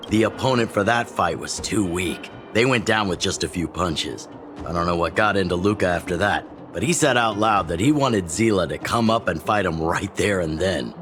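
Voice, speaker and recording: A low male voice from an actor plays a middle-aged chief in a video game. He is jovial and fatherly, a mentor who likes to tease. Music runs clearly behind him. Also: normal speed, natural voice. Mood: amused, fatherly. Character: older male underground chief, genial, teasing mentor. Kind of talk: video game dialogue acting.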